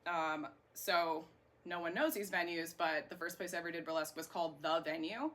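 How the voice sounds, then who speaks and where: Bright-voiced American woman in her thirties. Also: fairly low pitch, steady tone, brisk. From Florida, United States. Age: 30s. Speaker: interviewee, singer and burlesque performer. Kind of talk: casual interview answers. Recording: clear background noise.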